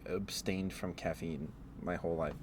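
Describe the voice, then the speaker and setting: A man streaming ASMR, chatting in a halting, rambling way through casual personal stories. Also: low voice, fairly even intonation, background noise.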